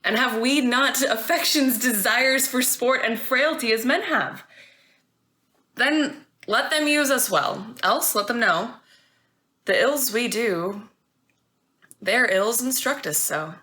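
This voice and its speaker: A woman's voice: a little high, slow, sharp tone. A woman acting a worldly, defiant wife in a Shakespearean stage monologue, arguing in a pointed, questioning way.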